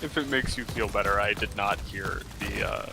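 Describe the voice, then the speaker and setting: A man streaming a game with friends, talking calmly and dryly. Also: fairly low pitch, normal speed, creaky, background noise. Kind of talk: casual gaming stream chat.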